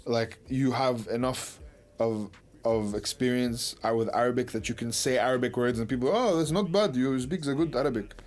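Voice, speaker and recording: A young rapper from Dubai talks with a mixed Dubai English accent. His voice sits at a middle pitch. He speaks candidly and swears in passing. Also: normal speed, darkish tone. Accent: Dubai English mix. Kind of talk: documentary interview.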